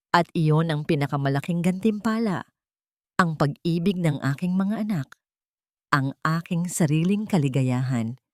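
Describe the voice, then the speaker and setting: A woman's voice: deep voice, a little mellow, no echo, clean studio sound. A woman with a Filipino accent narrating a scripted, dramatic first-person story.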